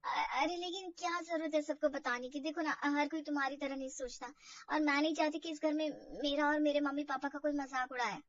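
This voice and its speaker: A woman's voice: high-pitched, steady tone, brisk, a bit bright, no echo, recorded from a distance, dull audio. A woman voicing a polite, anxious young new bride in a family drama story, hesitant and deferential. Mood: hesitant, worried.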